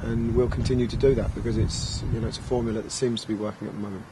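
A man in his thirties, a football manager giving measured post-match answers with many fillers, into handheld recorders outdoors. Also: lowish voice, flat delivery, very noisy. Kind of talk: post-match press interview. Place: outdoor press area by a sponsor backdrop. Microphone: handheld recorders held close.

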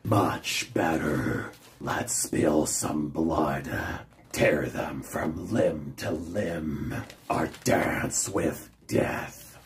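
A young man voicing a grim, menacing hooded wraith mercenary from a video game, in a gravelly, breathy, theatrical growl. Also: rumbling low, extremely slow, a little hiss or hum, exaggerated voice. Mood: sinister, sardonic. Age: young adult. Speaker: video creator doing character voice impressions. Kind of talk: video game villain voice lines.

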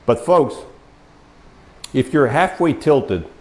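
A man's voice: medium pitch, expressive, slow pace, darkish tone. Older man with a tired, scratchy voice. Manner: folksy, addresses viewers as folks, explains step by step. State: losing his voice, coughing. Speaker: mobility dealer demonstrating a wheelchair. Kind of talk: product demonstration talk. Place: large bare showroom studio, indoors. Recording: echoey room.

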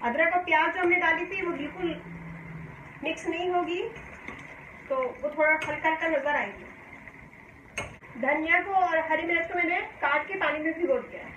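A woman from Pakistan hosting a home cooking demonstration, calmly explaining each step and often inviting viewers to look. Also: extremely high pitch, moderate pace, pauses often, lo-fi sound. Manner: calm, homely explaining, often saying "dekhiye ji". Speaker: cooking channel host. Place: home kitchen, indoors. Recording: echoey kitchen with faint hum.

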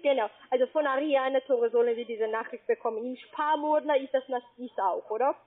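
A woman from Spain with a Spanish accent, working as a call-centre agent and speaking broken German, who spells out letters slowly and patiently. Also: high voice. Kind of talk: phone sales call. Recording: tinny phone line.

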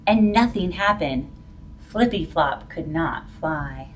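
A middle-aged woman reads a picture book aloud for young children. Her delivery is slow and expressive, with a very lively rise and fall in pitch. She is recorded indoors, a little way from the microphone. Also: a little hiss or hum. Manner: animated, drawn-out, dramatic delivery for young children. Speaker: storyteller reading a picture book. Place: plain-walled indoor room. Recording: voice picked up from a distance.

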